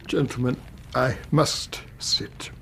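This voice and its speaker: A man's voice: mid-range voice, fairly even intonation, takes it slowly, gravelly, fairly bright tone, a little hiss or hum. A male actor playing a distraught older banker, stammering and pleading in a British accent in a radio drama. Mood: broken, despairing. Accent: British English. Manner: stammering, agitated.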